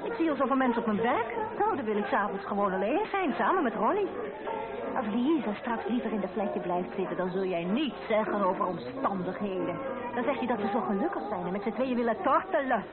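Radio drama: sharp-tongued, protective young wife played by a woman, assertive and rapid, band-limited sound. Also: fairly high pitch, animated, lightly acted. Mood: firm, curt. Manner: blunt, sarcastic, assertive. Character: defiant, sharp-tongued young married nurse, fiercely protective. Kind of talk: radio play acting. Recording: muffled phone-like band, loud crowd noise.

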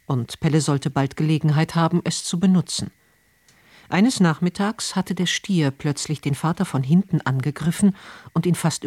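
A man's voice: a little high, darkish tone, no echo. A man presenting on radio, reading a scripted recap of a drama. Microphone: close studio microphone.

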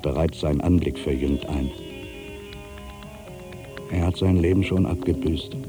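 A man's voice: very deep voice, unhurried, over music. A man playing an ageing, solitary writer, proud and wry, brooding through a literary dramatic monologue. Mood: musing, wistful. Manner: brooding, self-questioning, swinging from mutters to outbursts.

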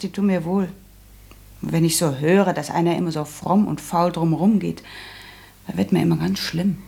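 An actress playing a shrewd, blunt young daughter in a German radio play, talking with knowing, colloquial confidence. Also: female voice, lowish voice, creaky, darkish tone, slightly rough. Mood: defiant.

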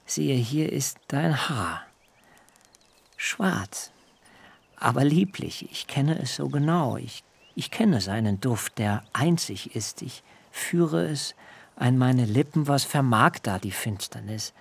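Jacob in a radio play, young herdsman in love, gravelly voice, talks real slow, hushed and solemn. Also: mid-range voice, animated, heavily acted. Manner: slow, solemn and intimate, sometimes dropping to a whisper. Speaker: radio drama actor. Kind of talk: literary radio play acting.

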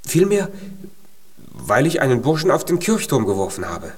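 A man's voice: mid-range voice, wildly swooping pitch, normal speed, slightly rough, exaggerated voice. An actor in a children's radio play, playing a tiny male giant who is naive, earnest and full of hopeful pluck.

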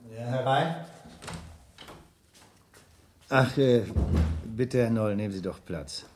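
A man's voice: medium pitch, measured pace, background noise, lightly acted. An actor playing a self-assured, evasive businessman in a 1970s radio crime drama, speaking formally and insistently. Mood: confidential.

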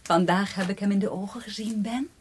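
An actress in a Dutch radio drama playing a dreamy, infatuated young aunt who confides in breathy half-whispers to a small child. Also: female voice, mid-range voice, brisk, slight background noise, lightly acted. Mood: dreamy, confiding.

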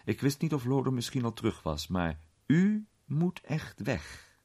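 A man voicing a calm, polite, erudite gentleman sleuth with measured manners in a story reading. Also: medium pitch, slightly rough, voice pushed up. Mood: polite urging.

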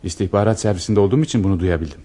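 A deep-voiced, slightly rough officer in a radio romance. He speaks with ardent, earnest intensity, declaiming his devotion. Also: very fast, dark tone. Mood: insistent, protective. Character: lovestruck, intense male officer in a wartime romance. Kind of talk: radio theater acting.

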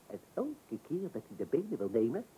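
An actor playing an excitable, boastful angler in a comic radio play, telling tales dramatically in a husky voice. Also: male voice, medium pitch, brisk, a little hiss or hum. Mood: dramatic, impatient. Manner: dramatic storytelling, easily irritated by interruption. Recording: dull old radio recording.

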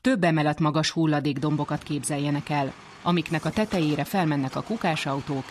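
A woman's voice: low voice, slight background noise. A woman reporter reading scripted narration for a radio feature.

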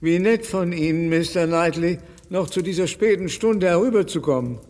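Radio play: kindly, anxious old gentleman played by a man, higher-pitched, fussy and polite, in a reverberant room. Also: normal speed, close to an everyday voice. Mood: courteous, fretful. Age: older. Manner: courteous, fretful. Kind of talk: German radio play acting. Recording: reverberant room, slightly distant.

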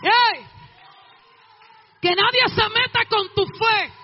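A woman with a Dominican Spanish accent preaching a fervent Pentecostal testimony, impassioned and shouting, with repeated exhortations. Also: extremely high pitch, takes it slowly. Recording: dull lo-fi sound with faint music. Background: faint music.

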